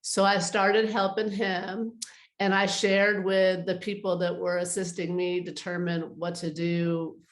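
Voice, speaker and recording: A woman's voice: lowish voice, little pitch movement, measured pace, echoey room. A middle-aged woman speaking as an interview guest in a casual, conversational style. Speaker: interview guest, artist advisor.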